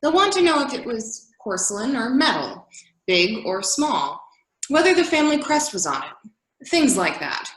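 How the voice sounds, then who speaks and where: Casting: actress for bossy, probing woman, highish and very animated, stage-reading comedy, roomy echoing sound. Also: normal speed. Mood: bossy, emphatic. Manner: insistent, emphatic. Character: exacting, inquisitive woman, bossy coach of storytelling. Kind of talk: readers theater dialogue.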